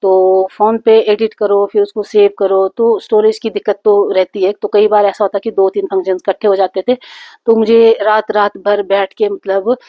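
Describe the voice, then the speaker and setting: A woman's voice: mid-range voice, little pitch movement, normal speed, no echo, lo-fi sound. A woman vlogging casually about her channel, with a sore throat from a cold, rambling with many fillers and restarts. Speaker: YouTube vlogger. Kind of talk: casual chat to camera. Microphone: phone.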